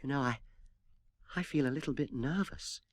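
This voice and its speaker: A man reading a storybook and voicing a shy young female cat who speaks softly and politely. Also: mid-range voice, moderate pace, dry recording, voice pushed up, lightly acted. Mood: nervous. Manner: soft, hesitant and polite.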